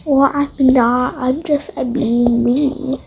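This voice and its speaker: High-pitched, creaky young-sounding female voice. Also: fairly even intonation, takes it slowly, a little mellow. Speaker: roleplay video creator voicing toy characters. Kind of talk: improvised dollhouse-game roleplay.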